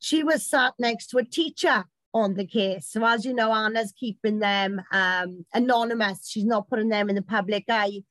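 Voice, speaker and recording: A British woman with a loud voice, a campaign organiser telling stories in casual chat. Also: mid-range voice, normal speed, few pauses, no room sound. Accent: British English. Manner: loud, chatty storytelling.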